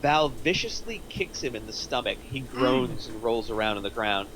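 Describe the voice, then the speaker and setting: A man narrates the action of a screenplay at a table read. His voice has an average pitch, with faint noise in the background. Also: moderate pace, a bit bright, low-quality recording. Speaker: stage-direction reader.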